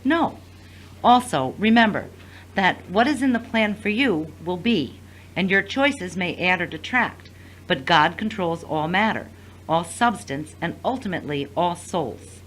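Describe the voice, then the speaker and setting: A woman acting in an audio drama as a pious friend giving advice, reassuring, gently firm and a little preachy. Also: on the low side, lively intonation, unhurried, slight background noise, poor audio.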